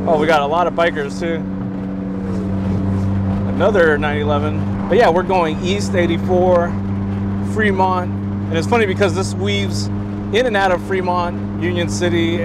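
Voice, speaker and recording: Slow-talking man filming from his convertible. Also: a little high. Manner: laid-back, laughs often, excited whoops. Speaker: vlogger driving with friends. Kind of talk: casual driving vlog narration. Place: in a convertible car on the road, outdoors. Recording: loud road and engine noise.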